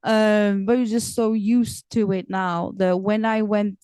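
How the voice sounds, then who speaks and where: A middle-aged woman whose first language is Portuguese hosts a relaxed podcast chat. Her voice sits at an ordinary pitch for a woman. It is recorded close and dry, with almost no room sound. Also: slow pace, clean tone, no room sound. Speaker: podcast host.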